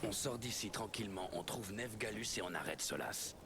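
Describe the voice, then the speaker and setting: A man's voice: on the low side, expressive, a little fast, background noise. Seasoned, wry male mentor. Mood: serious, determined. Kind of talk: video game voice acting.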